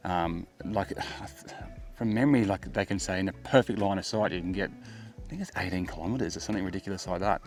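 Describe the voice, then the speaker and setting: A middle-aged Australian man with a low-pitched voice talks through a gear review as a vlogger. His phrasing is casual and laid-back, as if chatting to the viewer, with music underneath. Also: a little fast, a little mellow. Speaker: tech vlogger, product reviewer. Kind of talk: casual product review to camera.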